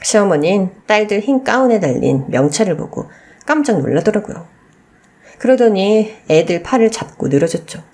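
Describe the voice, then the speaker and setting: Animated woman narrating a radio story. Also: mid-range voice, moderate pace. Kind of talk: scripted first-person dramatic narration.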